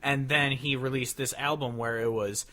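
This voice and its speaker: A man's voice: mid-range voice, steady tone, moderate pace, bright, forceful tone. A man hosting a podcast in casual, opinionated chat, swearing freely. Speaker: podcast host. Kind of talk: casual chat about country music.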